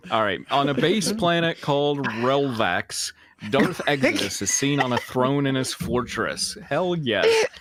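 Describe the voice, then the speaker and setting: A man's voice: mid-range voice, expressive, measured pace, crowd noise. A man hosting and narrating a casual comedic fan fiction reading, informal and joking in manner. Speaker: podcast host and narrator.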